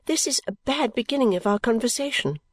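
Woman acting an earnest, gentle young lady, dry close sound. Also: on the high side, lively intonation, a little fast, no echo. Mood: reproachful. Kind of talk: acted dialogue in a classic novel audiobook.

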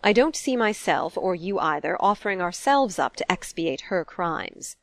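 A woman reading a storybook as an ironic, impatient young gentleman, polite but argumentative. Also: lowish voice, animated, normal speed, no echo. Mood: exasperated.

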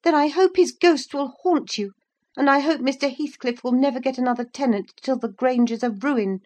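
A woman voicing a scornful, sharp-tongued young lady of the house in a classic novel, with a haughty manner. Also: high voice, lively intonation, a little slow, voice pushed up.

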